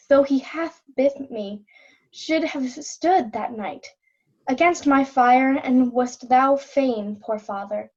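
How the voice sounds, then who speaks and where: A woman's voice: sits high, a little mellow. A woman playing a tender, grieving princess devoted to her father in a Shakespeare reading, recorded lo-fi. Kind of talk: readers' theatre Shakespeare. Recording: dull, lo-fi sound.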